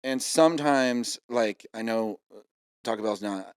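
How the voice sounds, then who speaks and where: Podcast guest, mid-thirties man, relaxed and rambling, middle pitch, dry close mic. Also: measured pace, smooth, no room sound. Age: 30s. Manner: laid-back, rambling with fillers. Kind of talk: casual chat. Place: home room.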